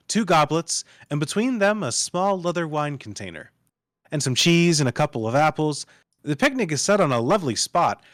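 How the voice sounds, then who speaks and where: A male narrator reads screenplay stage directions aloud. His voice is mid-pitched, and the sound is dry with no room around it. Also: no room sound.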